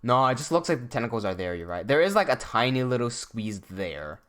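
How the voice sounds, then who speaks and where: Young man, mid-pitched, from Hong Kong, casual livestream host who thinks aloud and restarts his sentences. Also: sing-song, fairly quick, a bit bright. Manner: thinking aloud, reads chat messages, many restarts. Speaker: animator hosting a livestream.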